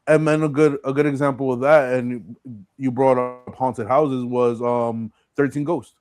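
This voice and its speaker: Casting: male podcast host, average pitch, informal conversational delivery, dry studio-like sound. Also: fairly even intonation, a little slow, darkish tone, no echo. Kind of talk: casual chat, asking questions.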